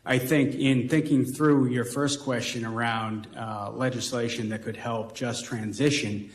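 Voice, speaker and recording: A man's voice: medium pitch, steady tone, measured pace. A man with a Boston accent answering as a panelist in a roundtable discussion, talking things through with frequent "right?" tags. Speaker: panelist on workforce. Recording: roomy echo.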